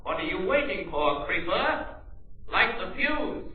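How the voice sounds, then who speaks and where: An actor playing a commanding, taunting crime-fighting avenger through a filter, declaiming in old radio melodrama style. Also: male voice, high-pitched, very animated, a little slow. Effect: telephone-like filter. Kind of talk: 1940s radio melodrama acting. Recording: thin, echoey vintage radio recording.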